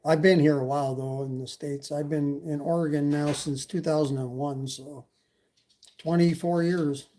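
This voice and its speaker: A man's voice: fairly high pitch, fairly even intonation, normal speed, warm tone. Rambling Canadian man on a live stream. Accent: slight Canadian. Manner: rambling, many fillers, reads chat. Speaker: e-bike channel host. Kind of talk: casual live stream chat.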